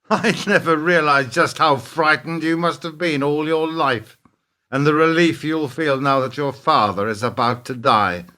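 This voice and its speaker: An actor playing a wounded, principled middle-aged businessman in a radio play, speaking in a halting, wry, pointed way. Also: on the high side, sharp tone. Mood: bitter, wry. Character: wounded, principled businessman confronting his treacherous partner.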